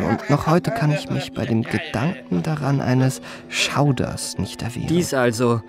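An actor playing a refined, self-enamoured memoirist, narrating a radio play in an ornate, savouring manner. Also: male voice, medium pitch. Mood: disgusted.

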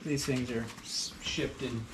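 A man talks through an unboxing in a garage, relaxed and explanatory, thinking aloud with the odd filler. His voice sits at an ordinary middle pitch. Steady background noise runs under the handheld camera recording. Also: a little slow. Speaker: homesteader unboxing equipment. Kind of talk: casual unboxing narration.

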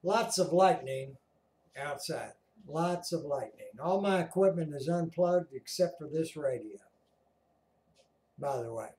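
A man giving casual, halting running commentary as a radio hobbyist, recorded indoors in his radio room. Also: on the high side, mellow, slight background noise. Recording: roomy echo.

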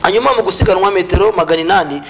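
Very quick-talking young Rwandan man. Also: high voice, noisy background. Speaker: sports show presenter. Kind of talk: sports talk and news round-up. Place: small TV studio, indoors.

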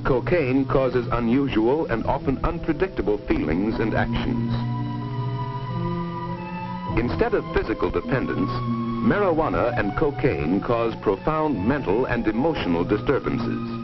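A man's voice: mid-range voice, very animated, unhurried. A man reading a grave, authoritative scripted narration in the style of a 1950s educational film. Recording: dull old 1950s film soundtrack, music.

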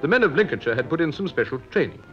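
A man's voice: on the high side, very animated, rapid-fire, fairly bright tone. Man narrating a 1950s newsreel, muffled vintage sound. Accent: British. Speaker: newsreel reporter. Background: music.

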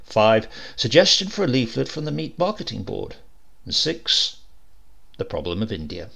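A man's voice: lowish voice, moderate pace, gravelly, husky. A man narrating a comic short story in a dry, wry storytelling manner. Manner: dry, wry storytelling with lively voiced dialogue.

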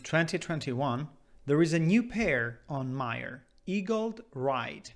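A man giving a measured, scripted voice-over that walks through a crypto exchange step by step. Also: on the high side. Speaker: crypto channel host. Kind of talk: scripted voice-over explainer with screen walkthrough. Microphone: voice-over over screen recording. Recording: faint background guitar music.

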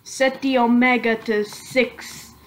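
A woman narrating a screen-recorded software tutorial, halting and correcting herself as she goes. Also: fairly high pitch, steady tone, low-quality recording. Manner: slow, halting, self-correcting. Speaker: tutorial maker.

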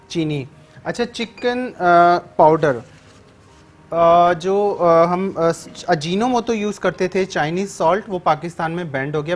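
A man in his thirties with a Sindhi accent, hosting a TV cooking show from a studio kitchen and explaining each step as he cooks. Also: sits high. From Sindh, Pakistan. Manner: instructive, repeats steps in two languages. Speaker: TV cooking show chef and host. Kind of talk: cooking demonstration, taking phone calls.

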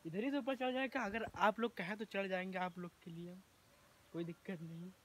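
Excited young man vlogging outdoors. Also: high-pitched, poor audio. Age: young adult. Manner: excited, joking, talks straight to camera. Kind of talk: casual vlog narration. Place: outdoors at a farm house garden. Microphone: phone selfie camera at arm's length.

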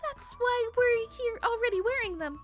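Very high, timid anime girl. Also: lively intonation, moderate pace. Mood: timid, hesitant. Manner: hesitant, stammering. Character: timid, nervous, apologetic teenage girl from a visual novel. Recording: game music behind.

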